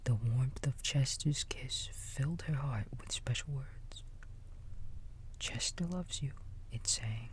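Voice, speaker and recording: A man in a soft breathy whisper, gently and soothingly reading a bedtime story like a big-brother babysitter, with casual asides. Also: medium pitch, fairly even intonation, a little slow, hardly any pauses.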